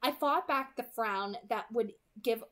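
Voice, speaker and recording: A woman's voice: fairly high pitch, a little slow, a bit bright. A woman in her twenties reading a novel aloud at home, expressively, as an amateur who sometimes stumbles and corrects herself. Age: 20s. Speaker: amateur book reader. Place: small room at home, indoors.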